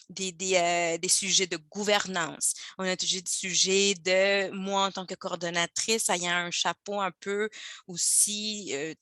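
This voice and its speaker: A native Spanish-speaking woman from Mexico with a Mexican accent, giving an informal testimonial in a halting, enthusiastic way with repeated words. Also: mid-range voice, fairly bright tone, no echo, clean studio sound. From Guadalajara, Mexico. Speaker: former mentee giving a testimonial. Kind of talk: informal testimonial in an online talk.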